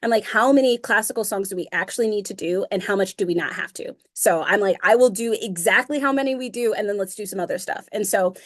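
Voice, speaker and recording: A woman's voice: medium pitch, fast talker, few pauses. A young woman being interviewed about her music, talking casually with many fillers and frequent laughs. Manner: chatty, fillers of um and like, laughs often. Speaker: interview guest, singer.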